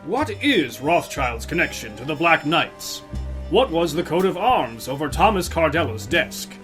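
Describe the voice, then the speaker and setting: A man's voice: fairly high pitch, very animated, a little slow. A man narrating an audio drama serial in a dramatic, scripted style. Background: guitar music.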